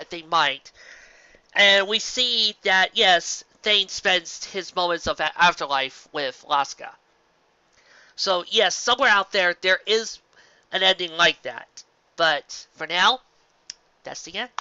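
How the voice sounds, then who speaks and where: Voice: medium pitch, bright, forceful tone, no room sound. A person reading and discussing fan fiction in an emphatic, informal, dramatic way. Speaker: fan fiction reader and reviewer. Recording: dull lo-fi sound.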